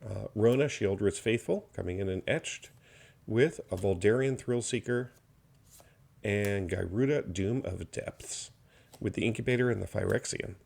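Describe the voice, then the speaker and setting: A slightly hoarse man opening trading card packs, naming each card in a steady listing commentary with mild enthusiasm. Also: fairly low pitch, unhurried. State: slightly hoarse, recovering from illness. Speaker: card box opener. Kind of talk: unboxing commentary.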